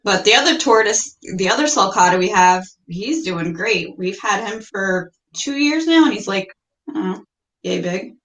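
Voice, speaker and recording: A woman's voice: mid-range voice, animated, normal speed, a bit bright. American woman chatting, echoey room. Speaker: livestream guest, reptile keeper. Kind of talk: casual chat. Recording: roomy, echoey livestream audio.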